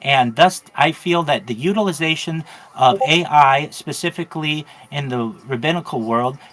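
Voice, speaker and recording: Earnest rabbi speaking in a measured way. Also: male voice, on the high side, a bit bright, rough recording. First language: English. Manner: earnest, measured, frequent fillers. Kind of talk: interview answer.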